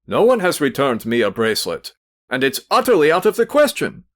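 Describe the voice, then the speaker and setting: A man's voice: medium pitch, very animated, a little slow, no room sound, studio quality. A man doing a stiff, pompous offended suitor. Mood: startled. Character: stiff, irritable middle-aged male suitor, pompous.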